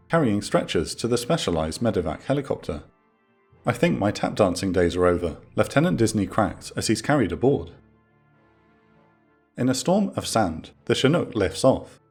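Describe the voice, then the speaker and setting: A man narrating a scripted military documentary in a measured, dramatic storytelling manner. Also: lowish voice, darkish tone, breathy edge. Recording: music under the voice. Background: background music.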